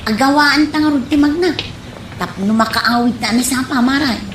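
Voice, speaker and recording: A woman's voice: sits high, moderate pace, lightly acted. A woman with an Ilocano accent playing a suspicious, nagging friend in a comedy radio drama. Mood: curious, impressed. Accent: Ilocano, from the Philippines. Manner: suspicious, complaining. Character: wary, nagging woman friend who distrusts strangers. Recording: echoey room, faint background noise.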